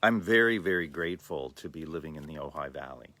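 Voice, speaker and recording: A man in his sixties from the Los Angeles area, giving a slow, thoughtful personal talk with many pauses and fillers. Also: rumbling low, expressive, sharp tone, dry recording. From Los Angeles area, USA. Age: 60s. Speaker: livestream host.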